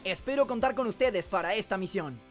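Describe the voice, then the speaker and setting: A young man hosting an animated comedy video, giving mock-grand royal proclamations with deadpan asides, recorded on a studio microphone. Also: high voice, brisk, soft background music. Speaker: animation channel host and voice actor. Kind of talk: scripted comic narration for an animated video.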